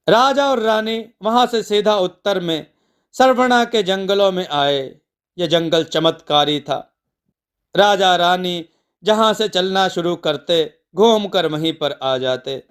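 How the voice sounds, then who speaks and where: Slow, high-pitched man narrating myths. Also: lively intonation, smooth. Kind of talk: scripted devotional story narration.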